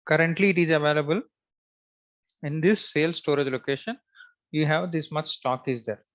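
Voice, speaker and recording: A man giving a step-by-step screen-share software tutorial, thinking aloud in a halting, repetitive, instructional way. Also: fairly high pitch. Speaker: software trainer.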